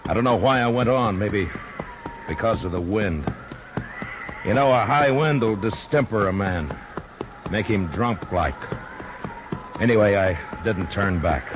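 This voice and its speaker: An actor playing a weary, steady frontier lawman narrating a radio western in a measured, grave way. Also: lowish voice. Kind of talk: dramatic old-time radio western narration. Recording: dull, noisy old radio recording.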